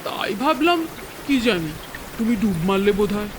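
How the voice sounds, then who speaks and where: A man voicing an eager, timid young tea-stall regular in a cartoon, hesitant and excitable. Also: high voice, normal speed. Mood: eager, sheepish. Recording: noisy rain ambience behind the voice.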